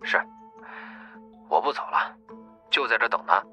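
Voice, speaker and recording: A man's voice: lowish voice, expressive, a little slow, sharp tone, faint music behind, full character voice. A man playing a stubborn, determined young gang member in an audiobook, heard through a telephone filter. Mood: brisk. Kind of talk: audiobook character acting. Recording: dull phone-filtered sound.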